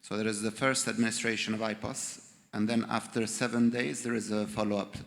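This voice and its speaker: A man's voice: fairly low pitch, flat delivery, a little fast, gravelly, long reverb tail. A man answering a panel question, hesitant with many ums.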